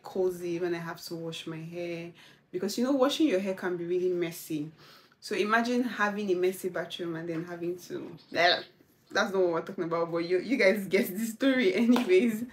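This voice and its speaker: Chatty young woman vlogging from home, laid-back, faint hum behind her. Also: fairly low pitch. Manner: chatty and relaxed, with soft laughs and gushing asides. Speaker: lifestyle vlogger. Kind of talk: casual chat to camera.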